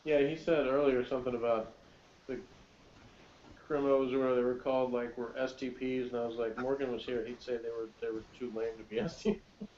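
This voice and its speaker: A man's voice: medium pitch, steady tone, a little fast, a little mellow, slight background noise. A man co-hosting a casual TV review chat in a joking, wry way, on a muffled recording.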